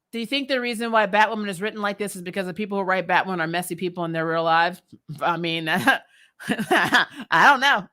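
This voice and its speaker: Female recap host, mid-pitched, blunt and exasperated, giggly, loose conversational delivery. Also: bright, forceful tone. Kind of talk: casual chat, reading and answering viewer comments.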